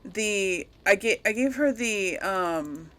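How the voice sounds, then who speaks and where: A woman's voice: mid-range voice, expressive, slow, fairly bright tone. A woman doing casual game commentary, rambling and thinking aloud as she talks to herself. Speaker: gaming video host.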